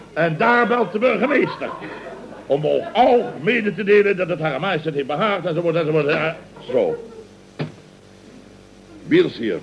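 An actor playing a pompous, easily flustered middle-aged contractor, blustering and stammering in a radio comedy serial. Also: male voice, a little high. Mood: pompous, deferential. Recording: muffled old radio recording.

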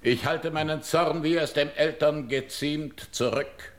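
A man's voice: a little high, fairly even intonation, a little slow, lightly acted. An actor playing a proud, stern, ageing king who holds back his anger, in weighty declaimed classical verse for radio. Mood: stern, commanding.

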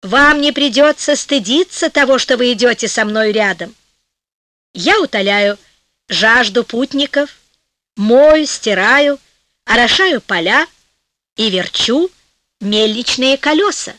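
Woman as smooth-talking, lilting water spirit. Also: a little high, expressive, takes it slowly, pauses often, fairly bright tone, dry recording. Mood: ingratiating. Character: Water, restless, flattering, self-praising female nature spirit.

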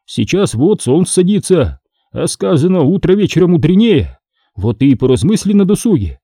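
A man's voice: on the high side, very animated, moderate pace, dark tone, husky, no room sound, clean studio sound, voice pushed up, exaggerated voice. A man voicing a firm, sensible adviser in an audiobook, speaking in an admonishing way. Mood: firm advice. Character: firm, sensible male adviser counselling patience. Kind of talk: dramatised historical audiobook.

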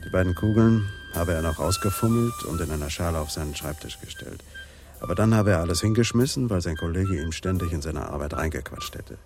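Low, lively, sceptical detective. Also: male voice, a little fast, music in the background. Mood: reporting. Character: sceptical, dry-witted male police detective. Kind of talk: radio crime play acting.

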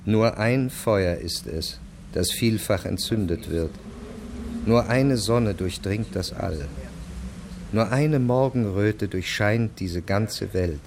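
A man playing a solemn reciter of ancient hymns in a radio drama, speaking in a measured, incantatory manner. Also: fairly low pitch, background noise.

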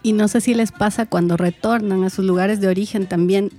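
A woman's voice: mid-range voice, fairly even intonation, fairly quick, dark tone, faint music behind. A woman from Quito with an Andean Spanish accent, reflecting in casual conversation. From Ecuador (Quito).

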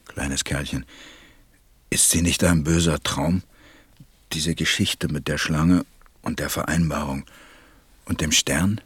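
Radio play lead: tender, troubled-hearted man, low breathy husk, slow deliberate delivery. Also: steady tone, fairly bright tone, exaggerated voice. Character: pensive, tender adult male narrator befriending a small boy. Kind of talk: dramatised literary narration, German radio play.

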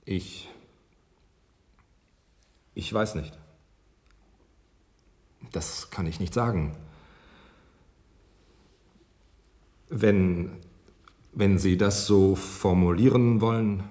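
A man playing an earnest, evasive doctor in a 1970s radio drama, speaking in a measured, resigned way. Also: low-pitched, warm tone. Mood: resigned, hesitant. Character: earnest, evasive male doctor facing the press.

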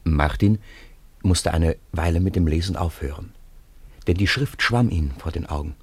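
Low husky guy narrating a radio drama story, very dry sound. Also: moderate pace, no room sound.

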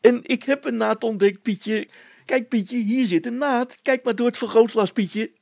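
A man voicing an eager, excitable young man in a story, urgent and insistent in the way he speaks. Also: extremely high pitch, unhurried, gravelly, no room sound, pitched above the performer's own voice, full character voice.

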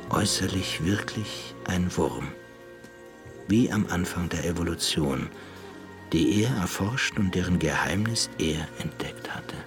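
A male actor playing a thoughtful, brooding half-ape who looks back in hushed, measured radio drama narration with a gravelly voice. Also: music in the background. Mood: sombre. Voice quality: gravelly, breathy low voice.

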